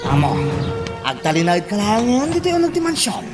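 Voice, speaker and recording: An actor playing an obedient, excitable henchman reporting urgently to his boss in a radio drama. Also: high voice, fairly quick. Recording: loud music over a distant voice.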